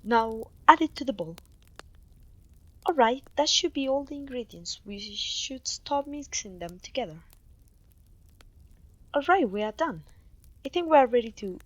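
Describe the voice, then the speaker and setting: A clumsy, kindly witch played by a woman mentors her apprentice in an ASMR fantasy roleplay. Her voice is on the high side and recorded close and dry, with polite, faintly old-fashioned phrasing and an apologetic, gentle manner. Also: animated, measured pace, pauses often, no room sound. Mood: gentle, instructive.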